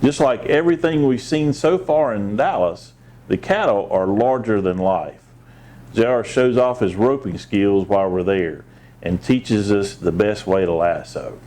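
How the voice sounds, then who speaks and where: Low-pitched mellow man reading a storybook. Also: unhurried. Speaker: assistant police chief, guest storybook reader. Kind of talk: reading a children's picture book aloud with brief asides.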